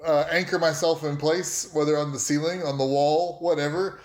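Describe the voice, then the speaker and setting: A woman's voice: deep voice, fairly even intonation, normal speed, darkish tone. A woman playing a tabletop game, chatting casually about what her character will attempt, with a roomy sound.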